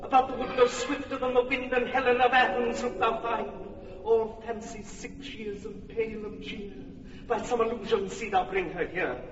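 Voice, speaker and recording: An actor playing a stately, scheming fairy king in a classic radio drama, speaking verse in a measured way with sharp bursts of command. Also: very high-pitched, expressive, muffled recording. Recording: roomy reverberant sound with room noise, from a distance.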